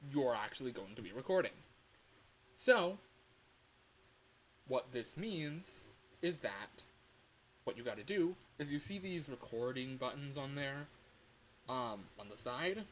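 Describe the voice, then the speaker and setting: A man with a mid-pitched voice talks casually as a livestream host walking through a tutorial. His delivery is informal and rambling, and there is some noise behind him on the microphone. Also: low-quality recording. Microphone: microphone on a home streaming setup.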